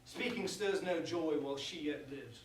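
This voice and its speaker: A man acts out a pompous young prince, bitter and grand, in a verse comedy. The voice is high and theatrical, speaking formally. It comes from well off the mic in a strongly reverberant room with faint noise. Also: normal speed, distant mic, natural voice. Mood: livid, scornful. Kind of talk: staged reading of verse comedy.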